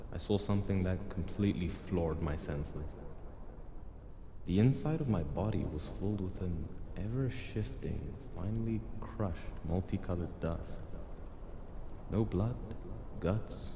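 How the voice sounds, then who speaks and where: A man's voice: low voice, echoey room, in a large hall, noisy background. A man playing a hapless, confused newcomer to a wasteland in an audio drama, anxious, faltering and pleading. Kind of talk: audio drama acting with narration.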